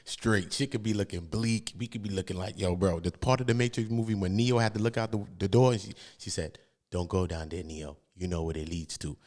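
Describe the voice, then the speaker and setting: A man's voice: sits low, fairly even intonation, brisk, a little mellow. An American man hosting a livestream, giving casual, slangy street commentary on court cases and repeating phrases for emphasis.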